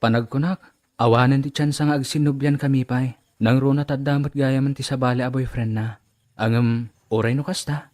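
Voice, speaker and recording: A man's voice: mid-range voice, steady tone, a little fast, a little mellow, lightly acted. A man acting an earnest, serious family man in an Ilocano radio drama. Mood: earnest, wooing. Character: men of a family drama: stern father, earnest suitor.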